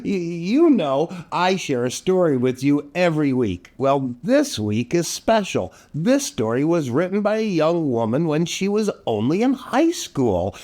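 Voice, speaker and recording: Animated high-pitched male children's show host. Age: older. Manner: theatrical, exaggerated, addresses young viewers directly. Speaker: children's storytime host and entertainer. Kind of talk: playful children's show hosting with dad jokes.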